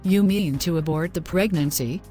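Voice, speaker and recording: A woman's voice: on the low side, moderate pace, dark tone, faint music behind, natural voice. A woman playing a devout, distressed young girlfriend in a scripted moral story, speaking earnestly in formal phrases. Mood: anxious, distressed.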